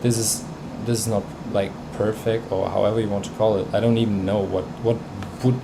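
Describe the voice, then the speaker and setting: A man's voice: fairly low pitch, little pitch movement, brisk, gravelly, background noise. A man whose first language is Bosnian, hosting a music livestream in casual chat, hesitant and full of fillers and restarts. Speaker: livestream host reviewing music tracks.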